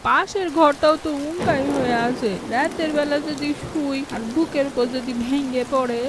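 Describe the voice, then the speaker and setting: Woman acting a frail, high-pitched old village mother. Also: moderate pace, natural voice. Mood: fearful, lamenting. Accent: northern Bangladeshi. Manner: plaintive, lamenting. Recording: very noisy, heavy rain over voice.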